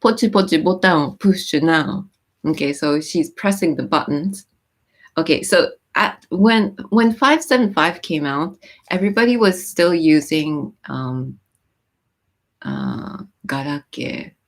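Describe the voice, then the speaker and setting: A woman's voice: sits low, very animated, takes it slowly. A woman hosting a casual livestream, raised in the US with Japanese as her mother tongue, reading viewer comments and explaining lyrics with frequent ums and restarts. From born in Japan, raised in the US. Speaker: livestream host.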